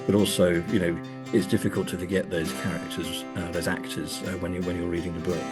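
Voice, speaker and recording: A middle-aged man speaking as an academic guest in a reflective interview, with hesitant, thoughtful, measured phrasing. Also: sits low, little pitch movement, over loud music.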